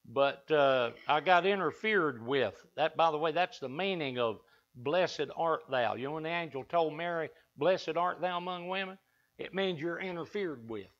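Older man, Appalachian accent, preacher, folksy sermon, high-ish bright voice, dull lo-fi church recording. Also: normal speed. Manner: down-home, talks to the congregation and asks them back. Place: wood-panelled church sanctuary. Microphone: lectern mic from a far camera.

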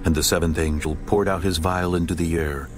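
Low voiced guy reading scripture slow n solemn, music playing under it. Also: fairly even intonation. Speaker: narrator. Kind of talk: dramatized scripture narration.

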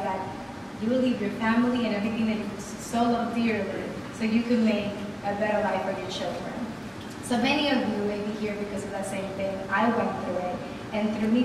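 Lively woman speaker, roomy echo from far off, some hiss. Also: mid-range voice. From Dominican Republic. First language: Spanish. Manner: lively, upbeat, faith-filled. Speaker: guest speaker. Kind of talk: motivational talk. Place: hotel meeting room. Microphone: podium mic on a stand, recorded by a far camera. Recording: echoey room from far off, noisy.

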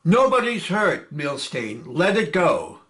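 A man's voice: a little high, very animated, slow, a bit bright, full character voice. A man reading a play aloud, voicing a firm, commanding male squadron chief. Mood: steady.

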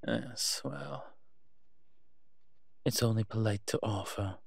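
A man's voice: fairly low pitch, slow, gravelly, husky, dry recording, clean studio sound, heavily acted. A man playing a refined, centuries-old vampire in a roleplay audio drama, pompous, wry and teasing. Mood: wry, brisk. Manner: pompous, wry, posh turns of phrase.

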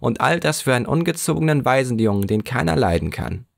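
A man's voice: medium pitch, very animated, quick pace, vocal fry, dry recording, pitched above the performer's own voice. Man doing a puffed-up bossy beadle, preachy and smug, dry audiobook sound. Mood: pompous. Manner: pompous, self-important, threatening. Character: pompous, bullying male parish beadle.

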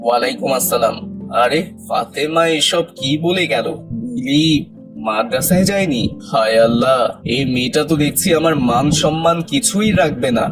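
A man's voice: medium pitch, animated, normal speed, music in the background, lightly acted, poor audio. A man playing a stern, indignant, pious middle-aged father with a dramatic scolding manner in a moral cartoon. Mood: dismayed, indignant.

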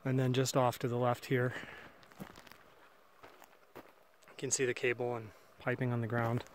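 A man's voice: mid-range voice, completely flat delivery, measured pace, slight background noise. A man filming and casually narrating a walk through an old mine site outdoors, thinking aloud with fillers on a camera mic. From Canada. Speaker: mine explorer filming a walkthrough.

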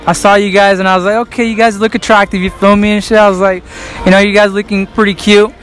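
Cocky young man with high voice. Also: little pitch movement, fast, fairly bright tone, faint music behind, low-quality recording. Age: young adult. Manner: cocky slangy banter, laughs often. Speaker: prank street interviewer. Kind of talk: prank street interview. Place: indoor shopping mall. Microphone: handheld mic held near mouth.

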